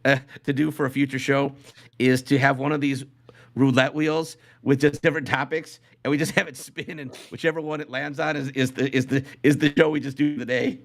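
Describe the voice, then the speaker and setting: A man's voice: medium pitch, little pitch movement, a little fast, creaky. A man hosting a casual live podcast, talking in a rambling way and often repeating phrases as he goes. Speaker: podcast host.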